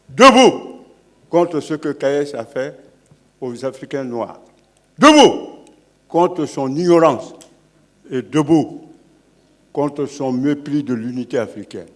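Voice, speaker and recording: Older man from Guinea, panel elder: fervent platform orator, fairly high voice. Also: lively intonation, slow pace, long pauses. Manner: oratorical, building to forceful declarations. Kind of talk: impassioned speech at a public panel.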